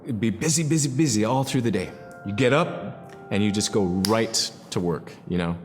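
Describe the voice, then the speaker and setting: A man in a documentary interview, recalling things in a reflective, unhurried way. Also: lowish voice, animated, long reverb tail. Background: music.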